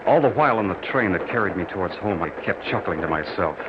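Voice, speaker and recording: An actor playing an earnest, lovestruck young soldier home from war, in theatrical old-time radio drama style. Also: male voice, low-pitched, expressive, lo-fi sound. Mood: nostalgic, expectant. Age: young adult. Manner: theatrical, drawn-out, dramatic delivery. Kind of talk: old-time radio drama acting, narrated first person. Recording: noisy, band-limited old radio recording.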